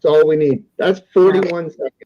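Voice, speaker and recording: A man's voice: on the high side, a little fast, dry recording. A man talking in a rambling, argumentative way, combative, sweary and disjointed. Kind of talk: casual, rambling argumentative talk. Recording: dull, lo-fi close audio.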